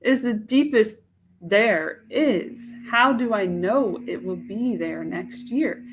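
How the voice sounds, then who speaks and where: A woman's voice: medium pitch, animated, slow, a little hiss or hum, low-quality recording. A woman reading a dramatic monologue as a young woman agonizing over a marriage proposal, in a breathless, searching and emotional way. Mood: yearning.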